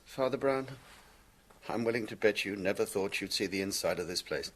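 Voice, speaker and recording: Male doctor, lowish husky voice, grave, worldly, matter-of-fact, British radio drama. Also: moderate pace, a bit bright. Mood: dry, chatty.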